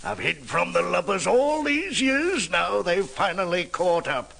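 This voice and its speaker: An actor playing a rough, desperate old pirate sailor in a storybook drama, raspy and strained, growling his sailor slang with laboured urgency. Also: sits high, very animated, unhurried, sharp tone, heavily acted. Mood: fearful, urgent. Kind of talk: theatrical storybook record acting.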